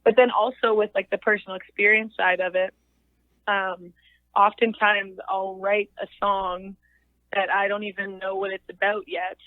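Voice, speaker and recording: A young woman with a naturally low voice talks through an interview, pausing and restarting as she thinks aloud. She comes through a tinny, phone-like line that sounds very dry and close. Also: little pitch movement, dry recording. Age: young adult. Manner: thoughtful, halting, many fillers and restarts. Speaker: singer-songwriter guest. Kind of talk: casual interview chat.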